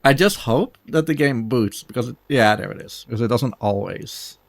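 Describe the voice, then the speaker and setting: A man's voice: mid-range voice, normal speed, vocal fry, no echo. A man giving casual live commentary as he presents his own video game speedrun.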